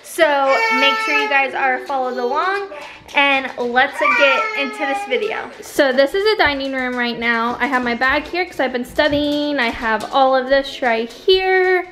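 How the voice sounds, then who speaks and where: A woman's voice: high voice, a little slow, very noisy. Chatty young woman vlogging at home. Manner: chatty, rambling, filming handheld while walking through the house. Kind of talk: casual home vlog. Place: home rooms, indoors. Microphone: phone or camera held at arm's length.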